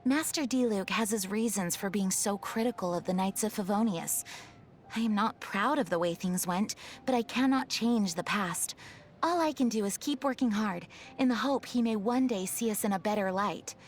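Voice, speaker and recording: A woman's voice: mid-range voice, moderate pace, a little hiss or hum, close to an everyday voice. A woman playing a dutiful, earnest young knight in a video game, speaking formally and with composure. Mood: sincere, dutiful. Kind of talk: video game character voice lines.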